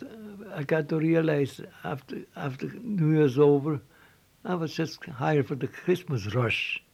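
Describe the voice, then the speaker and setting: An older man from Switzerland with a Swiss German accent, telling his life story in halting English with repeated words and restarts. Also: a little high, creaky, a little mellow. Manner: halting, repeats words, non-native phrasing. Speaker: interviewee.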